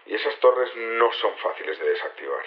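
A man's voice: fairly low pitch, lively intonation, moderate pace, natural voice. A dull, lo-fi radio-like recording of a male rebel leader from Spain played by an actor. He explains his plans in a naturalistic way, with small hesitations, as in an audio drama. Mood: explanatory. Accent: Spanish (Spain). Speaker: actor in a fiction podcast.

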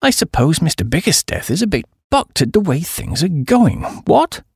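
A man voicing a flighty young upper-class English gentleman, breezy and exclamatory, with a posh British accent. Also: on the high side, very animated, moderate pace, gravelly, husky, no room sound, clean studio sound, pitched above the performer's own voice, full character voice. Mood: breezy, curious.

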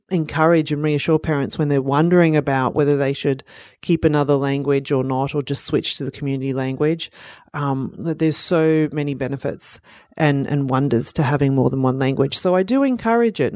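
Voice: medium pitch, a little mellow, dry recording, studio quality. Speech pathologist teaching. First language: Italian. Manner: explanatory, with frequent "um" and "you know" fillers. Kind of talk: educational presentation.

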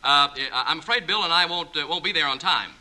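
An actor playing a sheepish, flustered husband who stammers evasive excuses in a 1950s radio comedy. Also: male voice, a little high, fast, bright, forceful tone. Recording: noisy vintage 1950s radio recording.

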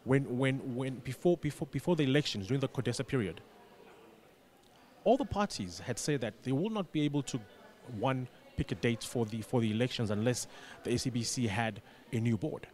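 A man answering as a radio interview guest, explaining deliberately and repeating words as he forms his points. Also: medium pitch, animated, darkish tone. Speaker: radio station manager, studio guest.

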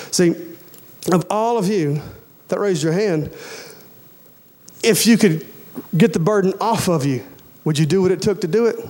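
A man's voice: sits high, darkish tone. A man in his forties preaching a sermon in a folksy, emphatic way, repeating phrases and addressing the congregation directly. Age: 40s. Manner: addresses listeners directly with questions and urgings.

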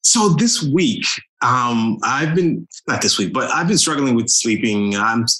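A man's voice: medium pitch, animated, a little slow, bright, forceful tone, low-quality recording. A man who is a guest on a faith talk show, telling a personal story in a loose, hesitant way. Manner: hesitant, many fillers and restarts while telling a story. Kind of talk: casual conversation.

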